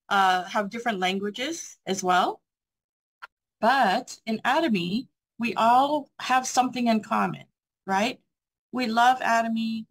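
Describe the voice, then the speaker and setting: A woman's voice: on the high side, rough recording. American woman, motivational seminar speaker over a video call, earnest and encouraging. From USA. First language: English. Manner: earnest, encouraging, asks the audience rhetorical questions. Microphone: video call mic.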